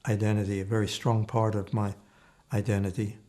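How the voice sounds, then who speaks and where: A man in his eighties, born in Slovakia with Slovak as his first language, giving oral history testimony in a measured, thoughtful way with frequent restarts. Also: lowish voice, level tone, dark tone. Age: 80s. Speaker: interviewee.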